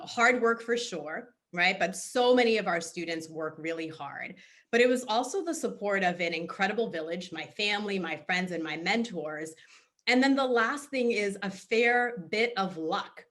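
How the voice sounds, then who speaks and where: Middle-aged woman panelist, bright and clear, earnest and composed, answers over a video call. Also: on the low side. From Haiti, raised in Boston. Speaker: nonprofit founder and CEO, panel guest.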